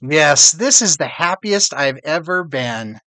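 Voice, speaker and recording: A man voicing a loving storybook mother who fondly recalls happy times, with drawn-out, emphatic phrasing. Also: on the high side, expressive, pushed and bright, no room sound, heavily acted. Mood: fond, joyful.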